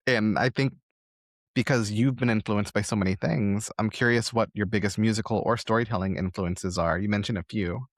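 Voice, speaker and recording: A man's voice: on the low side, steady tone, brisk, dry recording, studio quality. A man hosting a casual interview, speaking in an encouraging, affirming conversational way. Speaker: podcast host, interviewer.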